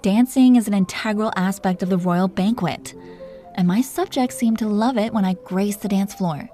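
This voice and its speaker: A woman's voice: mid-range voice, moderate pace, warm tone, faint music behind, natural voice. Woman playing a teasing, seductive dragon queen. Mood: playful, commanding. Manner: teasing, commanding, flirtatious with pet names. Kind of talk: intimate romantic roleplay audio acting.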